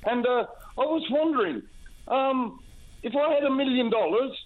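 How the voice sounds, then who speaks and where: A man's voice: very high-pitched, animated, normal speed. An older man, calling into a radio show and rambling folksily with many hesitations. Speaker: caller. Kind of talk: casual call-in chat. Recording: tinny phone line.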